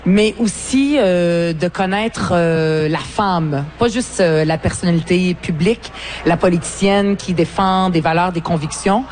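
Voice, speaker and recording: A woman's voice: on the low side, a little slow, darkish tone, slight background noise. A woman in her forties from Lebanon with a Québécois French accent, whose first language is Arabic, talking earnestly as a politician and author guest at a book fair, with many hesitations. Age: 40s.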